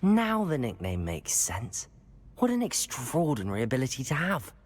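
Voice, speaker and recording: A young performer playing a calm, supportive student adventurer in a video game. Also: mid-range voice, moderate pace. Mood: gentle, supportive.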